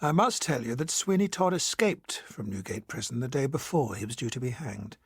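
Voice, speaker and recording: An actor playing a genteel period colonel who narrates an audio drama in formal, measured storytelling. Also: male voice, medium pitch, animated, husky, no echo, clean studio sound. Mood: grave storytelling.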